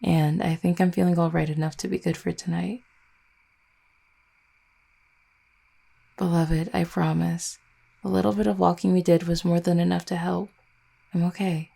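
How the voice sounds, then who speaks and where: A woman playing a tender, anxious young vampire girlfriend in an intimate audio roleplay, soft-spoken and halting, with a breathy, gravelly low voice. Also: little pitch movement. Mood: gentle, reassuring.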